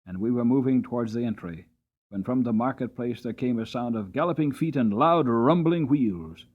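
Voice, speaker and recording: A man narrating an audiobook as a dramatic, expressive storybook reading. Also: mid-range voice, moderate pace, phone-quality sound.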